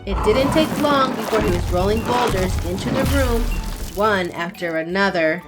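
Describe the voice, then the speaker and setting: A young adult woman hosting a children's channel, reading a picture book aloud in an expressive, sing-song way. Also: mid-range voice, moderate pace, over loud music. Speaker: children's story reader. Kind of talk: read-aloud storytelling for children.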